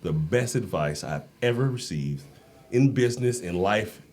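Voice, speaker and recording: A man's voice: lowish voice, measured pace. An American man talking casually as a podcast guest, telling anecdotes and acting out the dialogue in them. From United States. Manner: animated storyteller, acts out quoted dialogue. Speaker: podcast guest, endurance coach and Marine. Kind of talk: casual, anecdotal interview talk.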